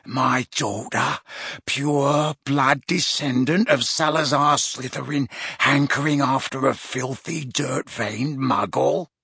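A man voicing a sneering, bigoted father with a violent temper in a storybook, harsh, rasping and slowly threatening. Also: medium pitch, little pitch movement, fairly bright tone, no echo, studio quality. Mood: enraged, disgusted. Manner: slow, deadly, threatening.

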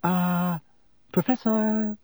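A man's voice: medium pitch, huge pitch swings, normal speed, mellow, slightly rough, dry recording, clean studio sound. A man voicing an earnest, bossy, bookish schoolgirl with a keen, emphatic manner in an audiobook. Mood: cautious.

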